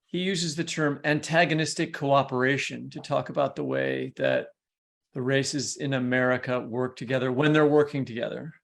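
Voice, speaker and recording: A man's voice: mid-range voice, fairly even intonation, normal speed, vocal fry. A man hosting a podcast interview, talking conversationally and working his way through long, discursive questions. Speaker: podcast host.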